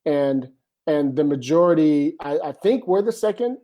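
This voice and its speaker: A man's voice: on the high side, expressive, moderate pace, dark tone. A man, a screenwriter, talking casually and animatedly as a guest, often checking in with "you know what I mean". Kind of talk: casual conversation.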